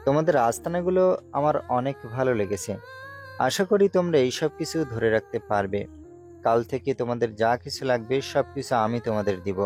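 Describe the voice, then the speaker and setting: An ambitious gangster underboss, acted by a man, speaks in a medium-pitched voice over music. He plots and gives orders like someone set on ruling others, in a homemade audio-drama style. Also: brisk, darkish tone, natural voice. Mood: commanding, generous. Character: ambitious scheming male gangster underboss, commanding. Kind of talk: amateur Bengali audio-story acting.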